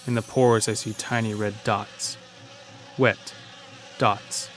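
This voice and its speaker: A man's voice: on the low side, flat delivery, slow pace, heavy background noise. A man narrating a scripted first-person horror story as an uneasy logging worker.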